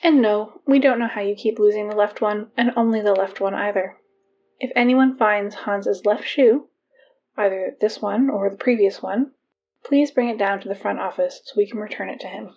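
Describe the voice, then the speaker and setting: A woman's voice: mid-range voice, normal speed, a little hiss or hum, lightly acted. Actress as dry school announcer woman, muffled lo-fi sound. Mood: deadpan, businesslike. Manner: dry, wry, matter-of-fact asides. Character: exasperated woman reading school morning announcements in an eerie town. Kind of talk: fiction podcast performed as PA announcements. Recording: dull lo-fi PA sound, music behind.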